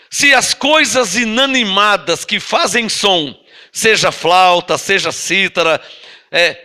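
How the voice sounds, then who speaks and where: A man with a Brazilian Portuguese accent preaching a Bible-teaching sermon. He reads scripture slowly and drawn out, then explains it emphatically and prods listeners with rhetorical questions. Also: high-pitched, sharp tone. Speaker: apostle preaching to a congregation.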